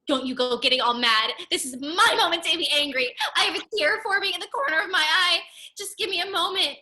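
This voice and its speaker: Girl acting a overdramatic lovesick college student, really high sharp voice, comedy read. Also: fairly quick, full character voice. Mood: indignant. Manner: theatrical, indignant, overdramatic. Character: melodramatic, lovesick young art student, self-styled damsel in distress. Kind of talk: table read of a comedy script.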